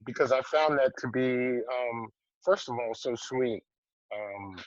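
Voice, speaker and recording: A man hosting a casual interview over a video call, relaxed and hesitant, with many pauses and restarts. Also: mid-range voice, no room sound. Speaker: interview host, film festival producer. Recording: dull, dry video-call audio.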